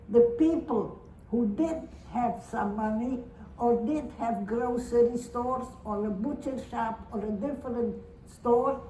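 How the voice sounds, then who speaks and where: A woman in her nineties, from Romania, gives testimony to an audience in a high, unhurried voice. She talks earnestly, repeating herself and restarting her sentences. The sound is roomy and distant, as if picked up from across a hall. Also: dark tone, slight background noise. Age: 90s. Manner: earnest, slow and repetitive, with halting restarts. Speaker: Holocaust survivor giving testimony. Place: synagogue or community hall. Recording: roomy hall recording from far off.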